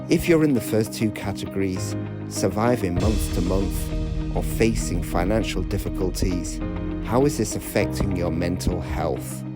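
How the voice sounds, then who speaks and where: A man hosting a podcast interview, speaking in a measured way with some hesitant restarts. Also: low-pitched. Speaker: podcast host.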